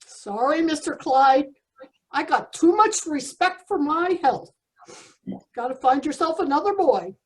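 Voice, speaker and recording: A woman's voice: sits high, expressive, a little slow, low-quality recording. A woman playing a put-upon, slangy male process server in old-time radio comedy style, streetwise and complaining. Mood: fed up.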